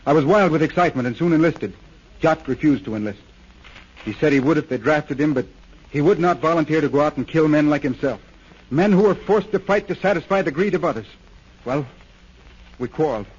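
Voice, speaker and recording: A man's voice: fairly high pitch, brisk, husky. A man playing a troubled young war veteran in a 1930s radio drama, speaking in an earnest, hesitant, confessional way. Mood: earnest confessing. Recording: muffled 1930s radio recording.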